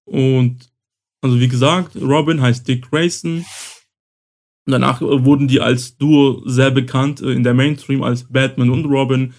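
A man with a mid-range voice hosts a casual conversation, explaining things step by step. He pauses often with small fillers. His first language is Romani. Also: medium pitch, steady tone, darkish tone. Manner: explains slowly with many fillers. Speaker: host. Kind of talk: casual podcast-style explainer.

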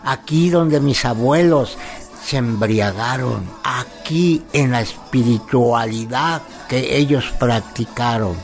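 A man from Cholula, Mexico, reciting verses in a slow, solemn, chanting way as a keeper of a dance tradition. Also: medium pitch, soft background music.

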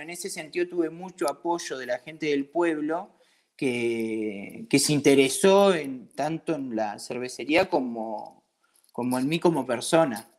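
Chatty Argentine man telling casual stories. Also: fairly high pitch. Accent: Argentine Spanish. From Patagonia, southern Argentina. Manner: chatty, colloquial, acts out bits of dialogue. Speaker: interviewee, craft brewery owner. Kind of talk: casual conversational storytelling.

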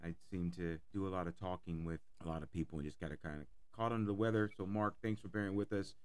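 A man hosting an interview podcast, his voice hoarse from a cold, talking with enthusiasm and repeating words. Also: low-pitched, steady tone, fast talker, a little mellow. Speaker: podcast host. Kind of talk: casual interview.

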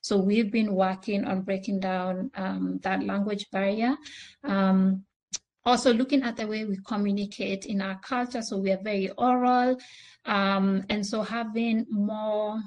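A woman's voice: medium pitch, fairly even intonation, measured pace, darkish tone, low-quality recording. A woman from East Africa with an East African accent, a native speaker of a local language, giving explanatory answers as a panelist. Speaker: panelist, transport nonprofit leader.

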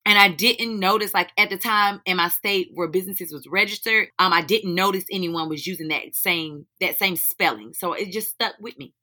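A young woman with a North Carolina accent, slightly hoarse and raspy, answering interview questions in a candid, informal way. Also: on the low side, moderate pace, few pauses, bright, forceful tone. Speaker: guest, teacher and business owner.

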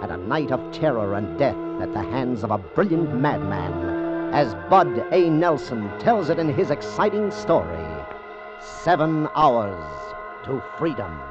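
Loud music swells under a male announcer on a dull old radio recording. He reads a dramatic 1950s promo with lively intonation. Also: medium pitch, measured pace.

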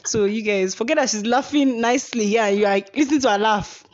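A woman's voice: on the high side, brisk, a little mellow, dull audio. A young Nigerian woman hosting a podcast in casual chat, playful and teasing, often laughing. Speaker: podcast host.

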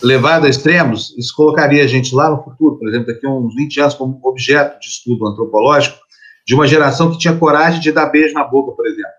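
A man hosting a morning news show, chatting and joking casually with his co-hosts. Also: medium pitch. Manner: chatty, joking, quick-talking. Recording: dull lo-fi sound.

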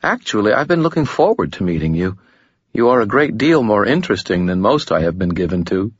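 A man voicing a serene, elegant female demon who speaks formally and with gentle amusement. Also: on the low side, very animated, a little fast, husky, no echo, muffled recording, voice pushed up. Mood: gently amused.

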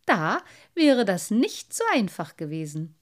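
A woman's voice: medium pitch, very animated, measured pace. A woman voicing a merry, self-satisfied little water sprite boy in a storybook, bold and playful. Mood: laughing, teasing. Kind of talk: storybook character voice.

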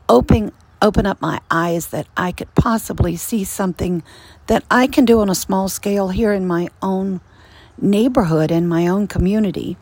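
Hoarse, raspy woman podcaster. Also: mid-range voice, darkish tone, no room sound. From Virginia, USA. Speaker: podcast host and ministry leader. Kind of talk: casual devotional podcast talk. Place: screened-in porch outdoors.

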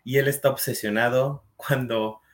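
A man's voice: mid-range voice, steady tone, moderate pace. Mexican man chatting in a casual, anecdotal way. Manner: anecdotal, conversational. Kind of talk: casual chat.

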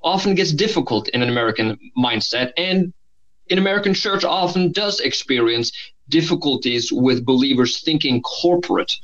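Man, Norwegian accent, measured, reflective, restarts sentences, dull lo-fi sound. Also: mid-range voice, lively intonation, a bit bright. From Norway. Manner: thoughtful, measured, with frequent restarts. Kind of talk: conversational podcast discussion. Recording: slightly dull lo-fi audio.

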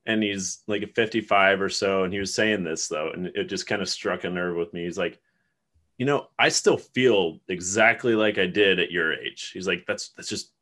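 Man, 30s, from Ohio, lowish voice, easygoing and rambling; casual podcast co-host. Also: moderate pace, bright, forceful tone. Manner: laid-back, rambling, laughs often. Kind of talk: casual chat.

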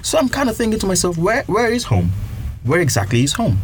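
A man's voice: sits high, huge pitch swings, a little fast, a little hiss or hum. A man from Nigeria telling personal stories earnestly in a casual chat, building his points with repeated phrases. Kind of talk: reflective storytelling in casual chat.

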